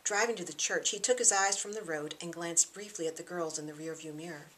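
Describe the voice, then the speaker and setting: Female audiobook narrator, slightly low, crisp forward tone, expressive storytelling delivery. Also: brisk, low-quality recording. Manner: expressive, acts out dialogue. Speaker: author reading own novel.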